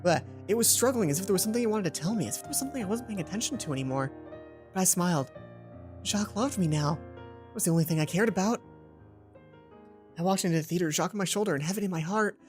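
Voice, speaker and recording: A woman's voice: fairly low pitch, expressive, fast talker, long pauses, a little mellow. A woman reading a visual novel story aloud as a let's play host, with music playing behind her.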